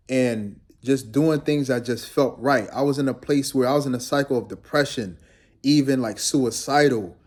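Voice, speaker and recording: A man's voice: mid-range voice, darkish tone. Laid-back young man vlogging casually. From Guyana. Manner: laid-back, rambling, addresses viewers as "man". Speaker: fitness trainer vlogger. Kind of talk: casual talking-head vlog.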